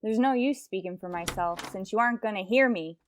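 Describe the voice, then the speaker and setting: A woman playing a quick-witted schoolteacher with dry, sardonic asides in a radio comedy. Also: mid-range voice, slight background noise, lightly acted. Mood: dry, exasperated. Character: wry, quick-witted female schoolteacher fending off suitor. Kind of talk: old-time radio comedy acting.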